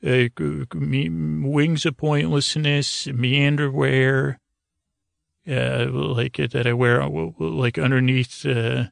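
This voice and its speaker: A man with a creaky, gravelly voice talks in a gentle bedtime-podcast monologue. His delivery is flat and halting, full of stammers and half-finished thoughts that wander off. The sound is close and dry. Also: medium pitch, no echo. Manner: meandering, halting, stammering, trailing off mid-thought. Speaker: podcast host. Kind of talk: rambling, soothing bedtime sleep-podcast monologue.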